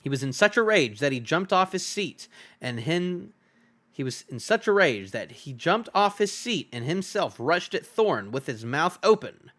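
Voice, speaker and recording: A man's voice: fairly high pitch, very animated, normal speed, fairly bright tone. A man reading a fantasy novel aloud as a streamer, in dramatic storybook narration.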